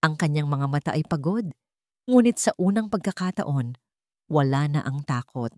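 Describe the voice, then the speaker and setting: A woman with a somewhat low voice narrates a scripted romantic drama. She reads as a storyteller, in a dry recording with little room sound. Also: animated, normal speed, dark tone, dry recording.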